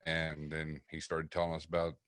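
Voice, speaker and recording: Man, very low voice, podcast guest, laughing profane storyteller, dry studio. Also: completely flat delivery, normal speed, a bit bright, clean tone, dry recording. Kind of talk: casual storytelling chat. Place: podcast studio around a table. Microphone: studio mic.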